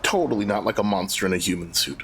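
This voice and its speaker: A man's voice: on the low side, fast, fairly bright tone, a little hiss or hum. A man playing a tabletop role-playing game, talking casually as he plans with the group. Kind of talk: casual collaborative planning talk.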